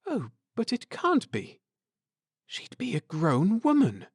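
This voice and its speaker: Man voicing an eager, warm-hearted woman, lifted pitch. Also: huge pitch swings, slow, breathy edge, no echo, studio quality, exaggerated voice. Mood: stunned. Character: eager, warm-hearted wife, space traveller. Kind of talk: audiobook character dialogue.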